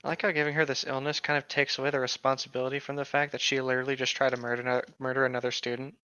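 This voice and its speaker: Man, bright sharp voice, mocking banter, casual, dull dry recording. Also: medium pitch, level tone, racing, clean tone, dry recording. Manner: snarky, mocking. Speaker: podcast co-host. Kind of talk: casual joking banter over a read-aloud story.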